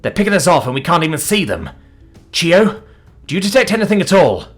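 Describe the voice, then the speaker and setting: A man's voice: fairly high pitch, very animated, a little fast, faint music behind, pitched above the performer's own voice. A man voicing a tough, blunt female fighter with an urgent manner in an audiobook. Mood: tense, urgent.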